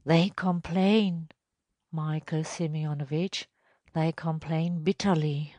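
A woman voicing a reluctant, deferential male village elder in a storybook reading, reporting in a measured, hesitant way. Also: deep voice, fairly even intonation, mellow, no room sound.

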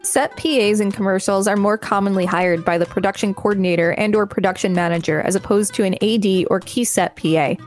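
A woman's voice: mid-range voice, fairly even intonation, brisk, few pauses, faint music behind. A woman reading an encyclopedia article aloud as a podcast co-host. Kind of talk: read-aloud article narration.

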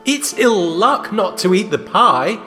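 A man's voice: high-pitched, big pitch swings, slow, bright, forceful tone, big-room sound, faint music behind, full character voice. A man voicing a cruel, spoiled teenage boy king who mocks his uncle between coughing and choking fits. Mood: mocking. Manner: mocking, broken by coughing fits.

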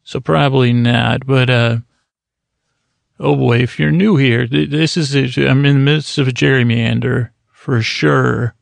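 Man, bedtime podcast host, meandering halting talk, slow and mild on purpose, heavy vocal fry. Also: mid-range voice, fairly even intonation, dry recording. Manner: meandering, halting, full of fillers and self-corrections; deliberately slow and mild to help listeners sleep. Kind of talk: rambling bedtime podcast intro.

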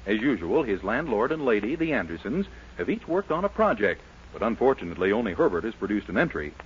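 A man's voice: on the low side, big pitch swings, quick pace. A man narrating a 1940s radio sitcom as announcer, in a polished, mock-dramatic manner. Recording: muffled, hissy old radio recording.

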